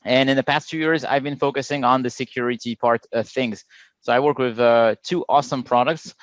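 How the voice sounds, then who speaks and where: A middle-aged Frenchman speaks in easy, filler-laden explanation, his accent clear through the chat. The sound is dry and close, with a slightly muffled, lo-fi edge from a remote call mic. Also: mid-range voice, fairly quick, no echo. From France. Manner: explanatory, relaxed, many "uh" fillers. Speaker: guest product manager. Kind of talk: casual podcast chat.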